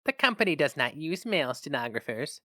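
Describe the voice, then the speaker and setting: Guy doing a young lady receptionist, high voice, curt and doubtful, storybook reading. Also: big pitch swings, a little fast, bright, forceful tone, no echo, clean studio sound. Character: sober young female receptionist, doubtful, businesslike.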